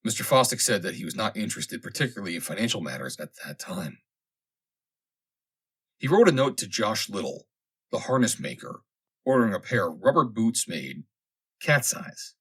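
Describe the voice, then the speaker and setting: A man reads a comic vintage story aloud as scripted narration, in a measured, dramatic storytelling manner with deliberate pauses. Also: fairly low pitch, sing-song, a bit bright, slightly rough. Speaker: audiobook reader.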